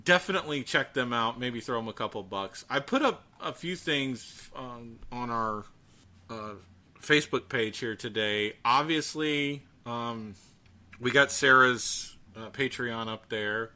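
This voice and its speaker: A man co-hosting a casual podcast, talking in a chatty, halting way. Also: medium pitch, pushed and bright. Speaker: podcast co-host.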